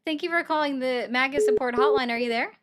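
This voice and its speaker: A woman hosting a political call-in livestream, brisk and sardonic, often cutting callers off. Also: high-pitched, over music. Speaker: livestream host taking calls.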